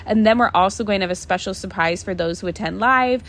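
A woman's voice: mid-range voice, fast talker, a bit bright, slight background noise. A woman hosting a podcast solo, talking casually in an enthusiastic, chatty manner. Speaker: podcast host.

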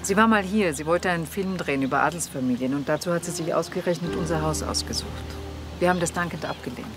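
Casting: woman as curt, defensive TV drama countess, quick delivery with music behind. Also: sits low, close to an everyday voice. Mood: guarded, cool. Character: guarded, haughty countess. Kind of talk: crime drama acting.

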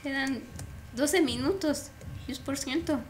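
A woman's voice: high-pitched, slow, slight background noise. A young woman chatting casually and exclaiming while playing a video game. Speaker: gamer. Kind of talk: casual chat while gaming.

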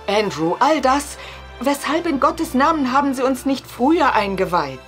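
An actress playing an anxious, gentle, hopeful lady of the house in a German audio drama, polite and earnest. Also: high voice, big pitch swings, moderate pace, faint music behind. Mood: upset.

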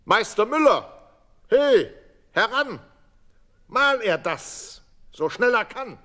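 A man acts out a bossy storybook farmer, rustic and blunt. He hollers his orders loudly in a raised, high voice. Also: very animated, slow pace, heavily acted. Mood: loud, commanding.

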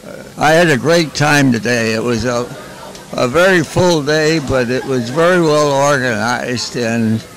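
A man in his nineties giving a slow, halting statement as a veteran, speaking into a handheld mic at a busy airport gate. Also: medium pitch, fairly bright tone, poor audio. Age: 90s. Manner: slow and halting, many "uh" pauses. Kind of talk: short personal statement to camera. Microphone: handheld mic held close.

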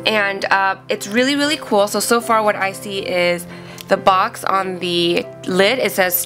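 Young woman, sick and nasal, chatty unboxing vlogger, crisp bright tone over faint background music. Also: medium pitch. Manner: chatty, thinking aloud with fillers. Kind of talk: casual unboxing review. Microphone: off-camera voice over hands-only tabletop shots.

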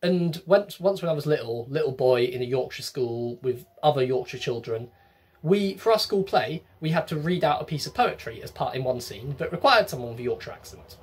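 Wry young man with a husky voice. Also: medium pitch, expressive, brisk. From England. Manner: wry, chatty, self-mocking. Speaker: comedian, web series host. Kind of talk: comic monologue to camera. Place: small bedroom with bookshelves.

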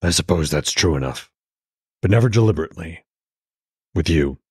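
A man voicing a weary, stubborn old Norse god in a terse, measured way for an audiobook. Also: low-pitched, animated, darkish tone, husky, no room sound, clean studio sound, pitched below the performer's own voice, exaggerated voice. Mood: firm, weary.

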